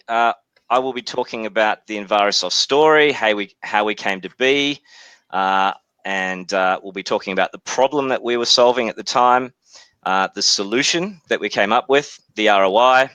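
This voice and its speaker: A man in his forties with an Australian accent, politely presenting his software company in a webinar, speaking in a measured way with frequent hesitations. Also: mid-range voice, clean tone, no echo.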